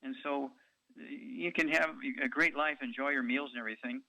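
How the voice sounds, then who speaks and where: A man's voice: mid-range voice, moderate pace, gravelly, sharp tone, dry recording, low-quality recording. A man in his eighties hosting a call-in radio show, talking in a rambling way with many ums and uhs. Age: 80s.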